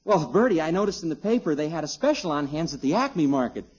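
A man's voice: fairly high pitch, sing-song, fast, a little mellow, husky. An actor playing an earnest, thrifty young American husband and father, polite and insistent, in 1950s radio comedy style. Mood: businesslike. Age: young adult. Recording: muffled 1950s radio recording.

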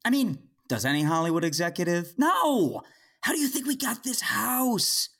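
A woman's voice: medium pitch, lively intonation, moderate pace, fairly bright tone. A woman playing a possessed, sneering, falsely sweet father who sold out to demons, in a horror-comedy audio drama. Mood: gleefully smug. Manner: sneering, saccharine, theatrical.

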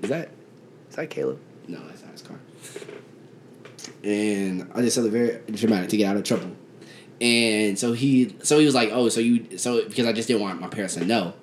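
A young man co-hosting a casual chat, telling stories in an animated way and mimicking other people. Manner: animated storytelling, mimics others with jumps in pitch, laughs often. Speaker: podcast co-host. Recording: echoey room with faint background noise.

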